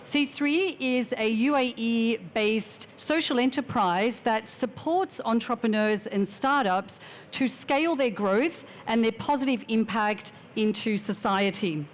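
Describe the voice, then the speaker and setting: A woman speaks with a measured, formal delivery as a panelist on a conference stage. Her voice sits a little high, with a faint hiss of room noise behind her. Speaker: panelist, strategic advisor. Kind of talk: prepared panel introduction.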